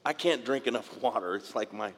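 Raspy preacher in a reverberant church. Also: male voice, mid-range voice. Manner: halting, repeats words, asks congregation for amens. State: raspy from dry air. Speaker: pastor. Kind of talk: sermon. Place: church sanctuary. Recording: long reverb of a large hall.

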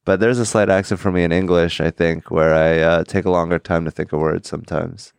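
Young man, deadpan, halting, deep voice, dry close sound. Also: gravelly, clear, resonant tone, dry recording. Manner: deadpan, halting with pauses and fillers. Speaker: comedian guest. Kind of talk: casual chat.

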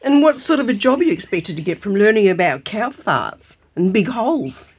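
An actress playing a stubborn, gullible older grandmother in a comic audio drama, husky-voiced and full of indignant questions. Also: medium pitch, very animated, moderate pace. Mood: sceptical, indignant.

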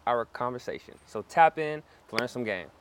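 A man's voice: medium pitch, expressive, moderate pace, slight background noise. Laid-back man who keeps saying bro. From Canada. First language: French. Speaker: podcast guest, business owner. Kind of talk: casual interview chat.

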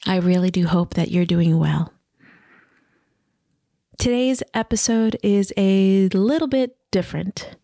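A woman hosts her podcast from home in an unhurried, reflective monologue, letting long pauses hang between her thoughts. Her voice sounds a little scratchy from allergies, yet it stays soft and gentle at a middle pitch. The recording is dry and close, with no room echo. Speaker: podcast host. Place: home by a river, indoors, wind outside.

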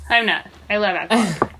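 A woman co-hosting a casual chat, reacting with amused asides and quick questions. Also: medium pitch, noisy background. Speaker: podcast co-host.

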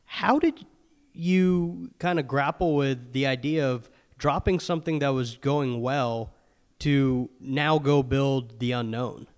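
A man's voice: mid-range voice, takes it slowly, long reverb tail. A man hosting a podcast, asking questions in a casual way with filler words. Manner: casual, uses fillers like you know. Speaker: podcast host. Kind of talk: interview hosting.

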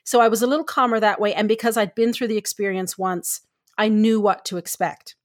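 A woman's voice: mid-range voice, fairly even intonation, fairly quick. A woman from Canada co-hosting a podcast, talking in a casual, chatty way and laughing easily. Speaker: podcast co-host, story editor.

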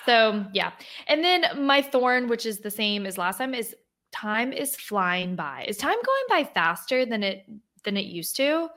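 A woman co-hosting a podcast in a casual chat, upbeat and quick to laugh. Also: a little high, animated, a bit bright. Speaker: podcast co-host.

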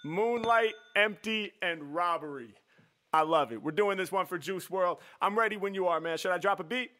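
A man's voice: high voice, moderate pace, sharp tone. A man hosting a live show and chatting casually with callers in a slangy, appreciative way. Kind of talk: casual chat with callers on a livestream. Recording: music playing behind the voice.

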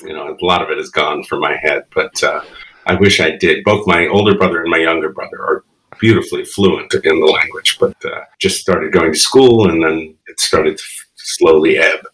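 A man's voice: extremely low pitch, normal speed, fairly bright tone, rough recording. A man in his fifties from the Bronx whose first language is Spanish, a guest telling reflective, rambling stories with frequent laughs. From the Bronx, New York. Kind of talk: casual interview chat.

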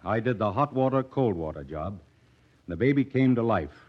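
A man's voice: on the low side, animated, moderate pace, breathy edge. An actor playing an earnest, awkward young male doctor in a vintage radio drama, with a hesitant, formally polite delivery. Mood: grave, weary. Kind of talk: 1940s radio drama acting. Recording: dull, muffled vintage radio recording.

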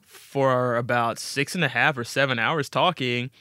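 Man, storytelling guest, bright forceful tone, casual delivery, dry studio recording. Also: mid-range voice, fairly even intonation, unhurried, clear, resonant tone, no echo.

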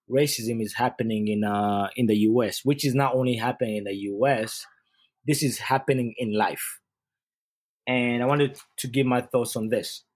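A man's voice: medium pitch, slightly rough. A man from Uganda, a youth sports coach, giving an earnest casual podcast monologue and often repeating and restarting his phrases.

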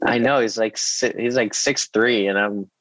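A man's voice: lowish voice, steady tone, moderate pace, fairly bright tone, dry recording. A man in his twenties from Egypt, a podcast guest answering questions in a friendly way, often laughing and repeating words. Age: 20s. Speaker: guest, professional athlete. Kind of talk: casual interview answers. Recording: dry, dull remote audio.

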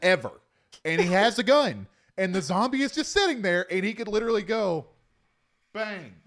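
A man's voice: sits high, sing-song, moderate pace, a bit bright. Man, casual podcast panelist, emphatic, exasperated, extremely varied intonation, film critique.

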